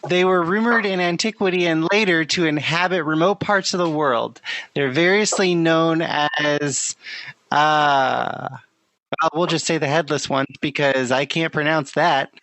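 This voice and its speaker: A podcast co-host reading out research notes in casual chat, unhurried, with filler ums. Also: medium pitch, a bit bright, poor audio.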